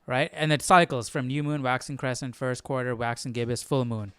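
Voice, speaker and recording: A man's voice: mid-range voice, fairly even intonation, a little fast, a bit bright, clear, resonant tone, dry recording, studio quality. A man hosting a Bible study stream, casually thinking aloud in a tentative, self-questioning way. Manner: tentative, self-questioning, often tags sentences with "right?". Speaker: host of a Bible study livestream.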